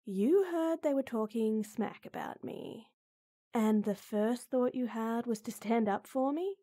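A woman playing a gruff but caring tomboy best friend in an intimate audio roleplay, blunt and exasperated, with frequent sighs. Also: fairly high pitch, a little slow, dark tone. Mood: scolding, concerned.

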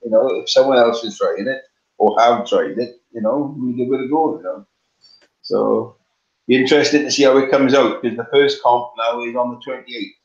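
Middle-aged welsh guy on a webcam call from his couch, lots of ums, roomy dull sound. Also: mid-range voice. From Wales. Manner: halting, many ums and restarts. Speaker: guest, competition flyer. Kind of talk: casual video call chat. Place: home living room, on a couch. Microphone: webcam on a video call.

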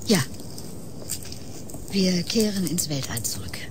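An enigmatic woman of a science-fiction radio play who stands apart from humanity. Her voice sits low and swings widely in melody, solemn with breathy whispers. There is noticeable ambient noise behind her. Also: very animated, slow pace. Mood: enigmatic, calm. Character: detached, otherworldly woman who scorns human emotion. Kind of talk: German science-fiction radio drama acting.

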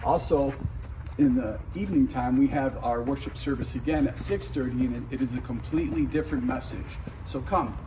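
A man's voice: medium pitch, a little fast. A middle-aged man delivering scripted church announcements to camera. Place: large indoor church foyer and halls. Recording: roomy, distant, noisy hall sound.

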